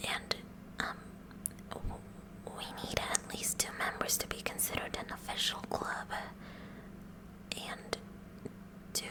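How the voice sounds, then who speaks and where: Casting: a woman to play an oddball, eager schoolgirl science president, fully whispered, unhurried and officious, for an ASMR roleplay. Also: close to an everyday voice, rough recording. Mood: sheepish. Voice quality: breathy, unvoiced whisper. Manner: slow, deliberate, mock-official with awkward stammers. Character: kooky, eager teenage girl science club president.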